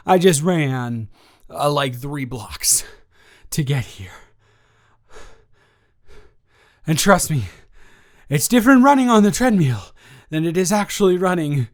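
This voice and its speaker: A man playing a nervous, self-deprecating young male roommate in an improvised audio roleplay, stammering and repeating himself as he rambles. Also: a little high. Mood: breathless, flustered.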